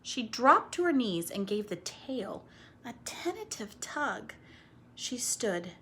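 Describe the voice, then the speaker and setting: A woman reading a children's story aloud dramatically, stretching key words and dropping into hushed, chatty asides. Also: on the high side, very animated, slow, slight background noise.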